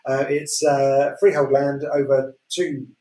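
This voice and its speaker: A man with a Yorkshire accent presenting auction lots in a relaxed way, full of fillers. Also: medium pitch, slow. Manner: relaxed, many fillers, chuckles. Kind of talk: casual chat describing property lots. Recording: echoey room, distant mic.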